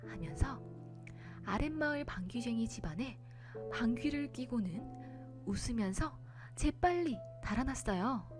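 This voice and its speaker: A woman narrating a children's bedtime folktale as a storyteller, in a polite, expressive manner. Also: on the high side, a little slow, over music.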